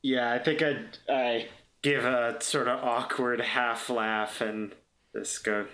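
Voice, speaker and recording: A man playing on an actual play podcast, improvising scenes haltingly and repeating words as he thinks. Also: medium pitch, little pitch movement, sharp tone. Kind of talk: improvised tabletop roleplay narration.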